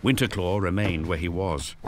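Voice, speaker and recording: Deep-voiced male dramatic narrator. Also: a little hiss or hum. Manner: grave, storytelling, with deliberate pauses.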